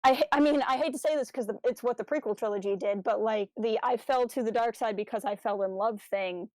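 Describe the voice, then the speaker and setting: A woman talks casually as a podcast co-host, her voice sitting a bit high. She works out her thoughts aloud in broken, hesitant phrases. The sound is dry, with no room echo. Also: brisk, a little mellow. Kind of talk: casual discussion of a fan audio drama review.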